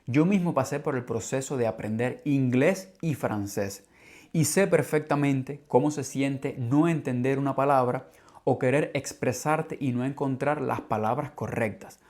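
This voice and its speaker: A man with a Cuban Spanish accent, a language teacher hosting a podcast for learners in a calm, clear, measured scripted narration. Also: medium pitch. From Cuba.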